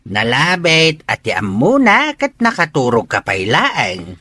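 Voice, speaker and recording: A man's voice: mid-range voice, huge pitch swings, measured pace, pushed and bright, husky, no room sound, full character voice. A man with a Filipino accent playing a teasing, folksy older village uncle in a radio drama. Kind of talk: Ilocano radio drama acting.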